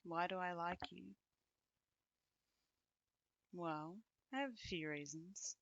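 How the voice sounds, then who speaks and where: A woman acting an affectionate, teasing companion in an intimate ASMR roleplay, speaking casually and conversationally with pauses. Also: fairly low pitch, a bit bright, no echo, dull audio. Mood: tender, reflective.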